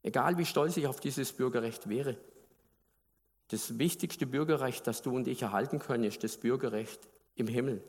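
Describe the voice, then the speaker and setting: Preacher, male, from Baden, mid pitch, chatty sermon delivery, long reverb of a church hall. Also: normal speed. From Baden, Germany. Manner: conversational, asks the congregation questions.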